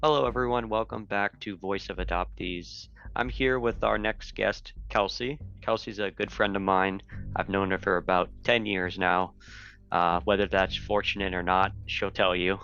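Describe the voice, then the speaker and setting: A man hosting a casual interview podcast, relaxed and friendly, with some fillers. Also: sits low, moderate pace, gravelly, smooth. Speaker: podcast host. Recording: dull lo-fi recording.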